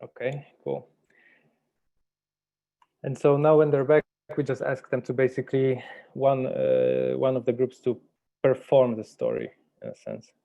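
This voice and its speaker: A man with a low-key, mellow voice that often drops into creak. He talks casually and tentatively, like an organiser checking in with a colleague. The sound is slightly muffled, as on a video call. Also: animated, measured pace, pauses often. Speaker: workshop host and online meeting organiser. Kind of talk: casual chat, coordinating an online workshop.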